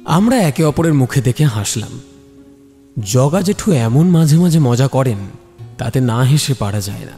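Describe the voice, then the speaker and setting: Guy narrating a detective story, mellow dark voice, big reverb, music underneath. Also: medium pitch, lively intonation, measured pace. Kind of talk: dramatic scripted narration.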